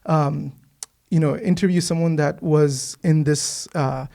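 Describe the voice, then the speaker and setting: A man's voice: a little high, steady tone, measured pace, creaky, warm tone. A man hosting a podcast, asking curious, eager questions with lots of fillers. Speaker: podcast host. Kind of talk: casual podcast interview. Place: mosque studio.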